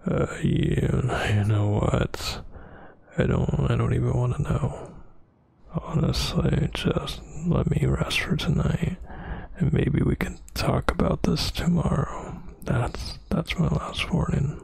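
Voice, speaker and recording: A brooding male vampire boyfriend acted by a man in an ASMR roleplay. His voice is heavily fried and very husky, close to a whisper. He speaks slowly and haltingly, with irritated menace. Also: medium pitch, darkish tone, no room sound, exaggerated voice. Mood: exasperated. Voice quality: breathy, husky near-whisper. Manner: halting, tense, scolding.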